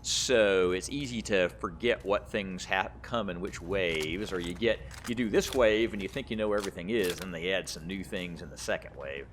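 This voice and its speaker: A man hosting a gaming video, giving casual gameplay commentary, excitable and thinking aloud as he plays. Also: low voice, moderate pace, few pauses. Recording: faint background noise.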